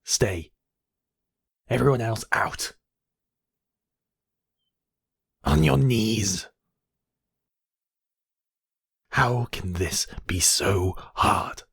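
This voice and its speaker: A coldly possessive high mage villain, acted by a man for an ASMR fantasy roleplay. His voice is low and husky, with a slow, deliberate delivery. He speaks imperiously, like one used to being obeyed. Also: fairly bright tone, no room sound, clean studio sound, heavily acted. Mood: exasperated. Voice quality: breathy, husky, often dropping to whispers. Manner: imperious, deliberate, addressing listener as apprentice. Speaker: voice actor.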